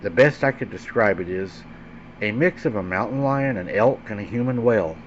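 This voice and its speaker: A man with a fairly low voice narrates a horror tale in a dramatic, suspenseful manner. His phrasing is deliberate and emphatic. The recording is dull and lo-fi, with faint noise behind him. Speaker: host and narrator of a paranormal story show. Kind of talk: dramatic scary-story narration. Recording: dull lo-fi sound with faint background hum.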